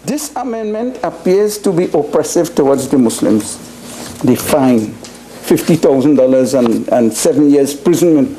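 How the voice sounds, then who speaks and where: A man speaking haltingly as a senator in debate, posing rhetorical questions. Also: a little high, expressive, mellow, slight background noise, poor audio. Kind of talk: parliamentary debate speech.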